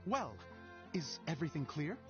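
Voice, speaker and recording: A man playing an earnest, theatrical playwright and director in a video game, with dramatic, drawn-out emphasis. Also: fairly high pitch, big pitch swings. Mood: grandly inspired. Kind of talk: video game character acting. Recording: slightly muffled game capture with music. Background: game music.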